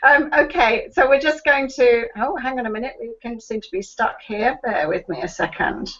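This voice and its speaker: A woman hosts an online meeting in a polite manner and laughs easily. Her voice is high, and the sound is dull and lo-fi, like a video call. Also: moderate pace, a bit bright. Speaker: meeting host.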